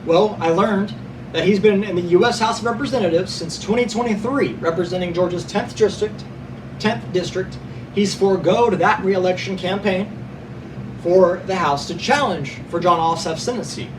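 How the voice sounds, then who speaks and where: A young man hosting his own podcast reads through political news from his notes, now and then tripping over a word and fixing it before he goes on. His voice sits high. The mic is some way off, and a clear hum of background noise runs under his words. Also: normal speed, distant mic. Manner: reads from notes, stumbles and corrects himself, stresses being unbiased. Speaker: podcast host. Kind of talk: reading prepared news notes with asides.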